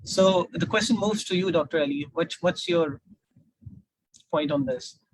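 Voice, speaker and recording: A man's voice: on the high side, a little slow, husky, no room sound, low-quality recording. A man with a Pakistani accent moderating an online panel in a polite, affirming way.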